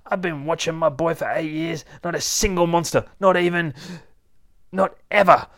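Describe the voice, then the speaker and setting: Man doing a high, stammering cowardly toy guardian. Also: fairly even intonation, normal speed, a bit bright. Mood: boastful. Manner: hesitant, stammering, whiny. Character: Tristan, cowardly boastful male toy guardian with shield.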